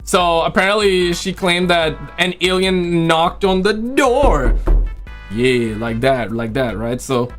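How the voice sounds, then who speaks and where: A man's voice: a little high, lively intonation, slow, fairly bright tone, soft background music. A man hosting a casual livestream, rambling in slang and repeating his phrases, with a voice that is starting to give out. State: losing his voice. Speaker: livestream host and video creator. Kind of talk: casual livestream chat with viewers.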